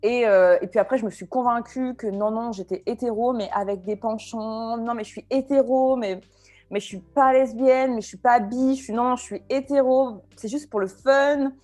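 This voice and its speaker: A woman in her twenties from France, talking casually as an interview guest, often pausing with fillers and restarts. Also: fairly high pitch, darkish tone, soft background music. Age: 20s. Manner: hesitant, many fillers and restarts.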